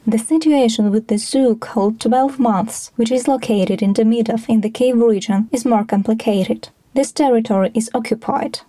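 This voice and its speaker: A woman's voice: a little high, moderate pace, few pauses, mellow, dry recording. A woman reading scripted documentary narration for a podcast.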